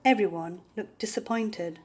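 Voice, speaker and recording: An older woman reading a children's picture book aloud with deliberate, theatrical expression. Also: medium pitch, darkish tone.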